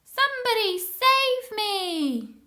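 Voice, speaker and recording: A woman voicing an excitable male storybook character with an exclamatory, pleading way of talking. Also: very high voice, slow pace, pitched above the performer's own voice, heavily acted. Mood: panicked. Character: male storybook character, panicky, regretful, eager when fed.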